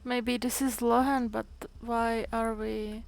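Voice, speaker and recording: Live game streamer: woman, unhurried, creaky, hesitant casual reactions, faint noise behind. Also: fairly high pitch, level tone.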